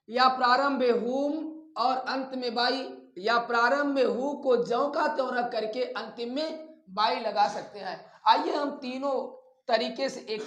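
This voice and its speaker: Slow-paced male teacher, echoey room. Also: extremely high pitch, fairly bright tone. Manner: deliberate, dictating formulas piece by piece, instructive. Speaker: English grammar teacher. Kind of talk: step-by-step classroom lesson. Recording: echoey, roomy sound.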